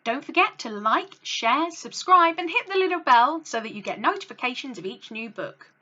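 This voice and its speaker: Lady reading a kids storybook out loud, really bright and dramatic, bit muffled recording. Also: sits high, moderate pace. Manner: dramatic, animated delivery for young listeners. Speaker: storyteller, channel host. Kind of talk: expressive children's read-along storytelling.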